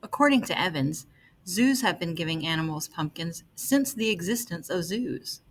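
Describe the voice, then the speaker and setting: A woman reading an article aloud as scripted narration, with measured, deliberate phrasing. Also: on the low side, animated, slightly rough.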